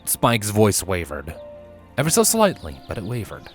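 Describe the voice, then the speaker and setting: A man reading a fiction story aloud as its narrator, in an expressive scripted style. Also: fairly low pitch, moderate pace, faint music behind.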